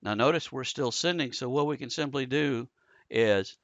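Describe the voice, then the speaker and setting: A man giving a casual, conversational software tutorial, explaining things step by step as an instructor. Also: mid-range voice, moderate pace, noisy background. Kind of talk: casual screen-share software tutorial narration. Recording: dull lo-fi close dry voice-over.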